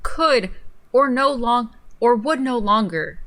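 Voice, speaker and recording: A woman's voice: a little high, animated, slow pace, a bit bright. Incredulous young woman reading aloud. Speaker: co-host of a livestream. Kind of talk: dramatic reading of an article with casual asides.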